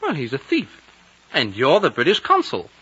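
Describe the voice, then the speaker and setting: An eager, blunt young man in a vintage radio drama. His voice is mid-pitched, bright and very animated, with a boyish directness. The recording has the dull tone of 1950 radio. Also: moderate pace, husky, heavily acted. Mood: insistent, accusing. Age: young adult. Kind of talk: 1950s radio drama acting.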